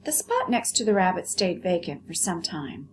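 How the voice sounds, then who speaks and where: A woman with an American accent, a teacher explaining words and reading a story aloud with clear phrasing for children. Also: fairly low pitch, sing-song, normal speed, slight background noise. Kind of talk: vocabulary lesson and expressive story read-aloud.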